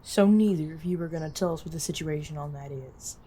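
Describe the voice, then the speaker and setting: A slightly low-pitched young vigilante voiced by a woman, cheeky and nosy. The delivery is slangy and teasing, in audio drama style, with faint noise around it. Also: fairly quick, lightly acted. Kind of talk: audio roleplay acting.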